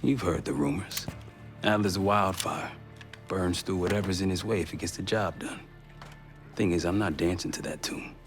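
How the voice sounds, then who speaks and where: An actor playing a frank, streetwise American covert operative in a military game, talking colloquially. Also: male voice, low-pitched, normal speed, soft background music, natural voice. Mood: candid, wry. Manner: colloquial, plain-spoken. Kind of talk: cinematic military game acting.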